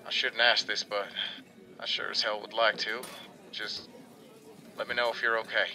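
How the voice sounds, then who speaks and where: A man playing an earnest young man who haltingly confides in his mother in an audio drama monologue. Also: on the low side, level tone, sharp tone. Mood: hesitant, pleading.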